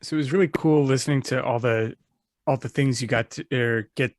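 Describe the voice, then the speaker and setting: A man's voice: mid-range voice, fairly quick, no room sound, studio quality. A man from Pennsylvania hosting a podcast, asking casual questions with hesitant fillers. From Pennsylvania, USA. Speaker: podcast host.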